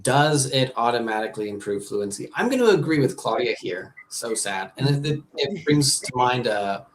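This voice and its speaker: An upbeat man from America hosts a live teacher webinar in a mid-range voice, chatty and quick to repeat himself. Faint background noise sits under his video-call audio. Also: mid-range voice, expressive, poor audio. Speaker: host, ESL teacher and music producer.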